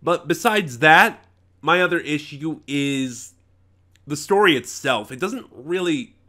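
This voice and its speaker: A man reviewing in a casual, unscripted way, opinionated and rambling, halting and correcting himself as he goes. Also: on the high side, animated, bright, forceful tone. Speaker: reviewer, channel host.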